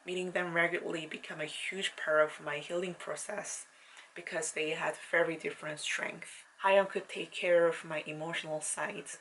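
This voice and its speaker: A woman in her thirties, born in South Korea with Korean as her first language, gives a slow, earnest, scripted personal narration in English. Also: sits low, vocal fry, a bit bright, slight background noise, low-quality recording. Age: 30s. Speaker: vlogger.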